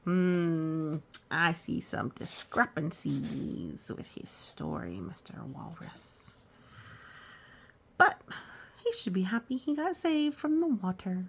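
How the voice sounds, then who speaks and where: Storytime reader, female: chatty and reassuring, very lively intonation, some vocal fry. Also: fairly low pitch, slow. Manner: reassuring, musing, chatty commentary. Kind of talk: read-aloud with casual asides to children.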